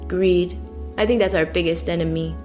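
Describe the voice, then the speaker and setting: A woman's voice: fairly low pitch, steady tone, moderate pace. A woman in her twenties, a climate activist, speaking with passion in an interview. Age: 20s. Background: loud guitar music.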